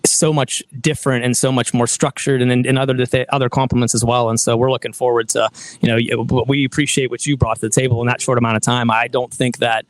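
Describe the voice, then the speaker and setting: An American man from a band answers interview questions in an earnest, halting way and restarts his sentences often. His voice sits at an average pitch and sounds close and dry. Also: flat delivery, no room sound, poor audio. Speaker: band member. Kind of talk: casual interview. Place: bowling alley venue.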